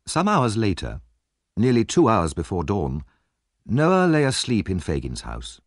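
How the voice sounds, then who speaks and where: A man's voice: deep voice, no echo, studio quality. A man reading an audiobook as narrator, in a dramatic scripted storytelling style.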